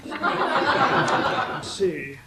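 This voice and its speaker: A man's voice: sits high, very animated, drawn-out words, reverberant, very noisy, exaggerated voice. A man playing an earnest, dreamy young royal poet who speaks in a hesitant, heartfelt way.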